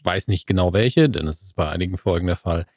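A man's voice: low voice, fast, a little mellow, no echo. A man co-hosting a podcast, talking in a dry, self-mocking way. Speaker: podcast co-host. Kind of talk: casual podcast chat and recorded spoken insert.

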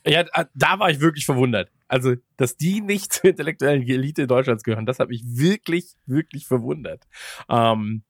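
A man's voice: mid-range voice, moderate pace, no echo, studio quality. A man chatting casually as a podcast host, rambling along with ironic jokes. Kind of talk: casual chat.

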